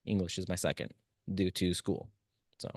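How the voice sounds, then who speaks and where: A man whose first language is Spanish, teaching a live online class and talking to students in chat in a relaxed, encouraging way. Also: low voice, normal speed, no echo, studio quality. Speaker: instructor.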